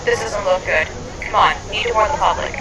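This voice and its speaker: A woman's voice: mid-range voice, a crowd in the background, close to an everyday voice. A woman playing a no-nonsense, protective demigod hero in an amateur audio drama. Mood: urgent. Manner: brisk, no-nonsense. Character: skeptical, protective young female demigod hero. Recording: echoey room.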